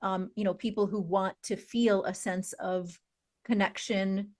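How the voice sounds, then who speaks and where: A woman's voice: mid-range voice, fairly even intonation, measured pace. A woman hosting a talk show, thinking aloud with frequent "um" as she reflects and steers the conversation. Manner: thinks aloud with many "um" fillers. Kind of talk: conversational interviewing.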